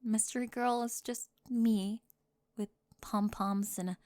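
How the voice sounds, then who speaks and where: A woman voicing a flustered teenage cheerleader bully with a secret crush, stammering and slipping into breathy half-whispers in an ASMR roleplay. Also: fairly high pitch, steady tone, unhurried, darkish tone, no room sound, studio quality, close to an everyday voice. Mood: vulnerable, resigned.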